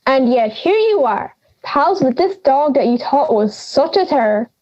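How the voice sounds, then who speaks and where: Young woman as a cranky, high-pitched fairy-tale granny. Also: lively intonation, a little slow, muffled recording. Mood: indignant. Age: young adult. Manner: brusque, sarcastic, theatrical. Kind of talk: audio drama acting.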